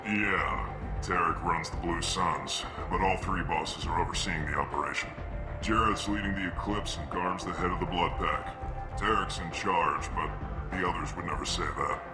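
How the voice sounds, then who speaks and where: Man acting a gruff mercenary recruiter, deep voice, clipped and businesslike, video game, roomy echo over music. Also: normal speed, natural voice. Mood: blunt, businesslike. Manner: blunt, clipped, no-nonsense.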